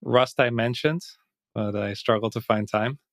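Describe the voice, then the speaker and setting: A Dutch man, a native Dutch speaker, talking in English as a podcast guest, reflective and thinking aloud with soft laughs. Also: mid-range voice, a little slow, no room sound, clean studio sound. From Netherlands. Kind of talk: casual interview chat.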